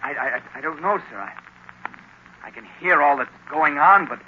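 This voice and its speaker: A man acting a dazed young lieutenant, halting and stammering as if in a trance, in 1930s radio drama. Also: on the high side, natural voice. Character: dazed, faltering young army lieutenant on trial. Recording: dull, hissy old radio recording.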